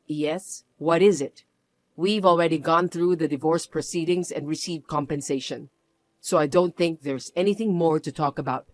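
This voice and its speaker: A voice actor playing a protective middle-aged mother who speaks in a low, cold and clipped way. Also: moderate pace, no echo, lightly acted. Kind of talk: dramatized story reading.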